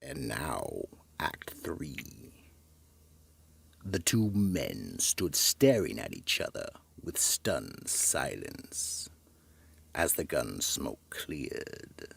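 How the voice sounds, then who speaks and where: An extremely deep-voiced man narrates a radio mystery with theatrical drama. His delivery is slow and husky, sinking into a hush as phrases end. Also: very animated, no echo.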